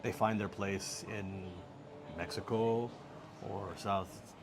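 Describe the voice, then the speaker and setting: A middle-aged American man giving relaxed, joking answers as an interview guest. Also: fairly low pitch, slow. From United States. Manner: relaxed, joking, laughs easily. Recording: faint background noise.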